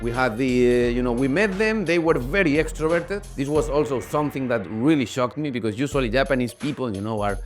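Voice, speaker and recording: A young man from Spain with a Spanish accent, telling a casual, animated vlog story in English. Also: mid-range voice, brisk, few pauses, soft background music. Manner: animated, talkative, acts out quoted voices. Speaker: vlogger.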